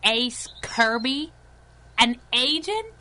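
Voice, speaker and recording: An actress playing a tough, teasing, affectionate superhero sidekick in a 1930s-style radio drama. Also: female voice, a little high, very animated, very slow, sharp tone, heavily acted. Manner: sassy, teasing, clipped.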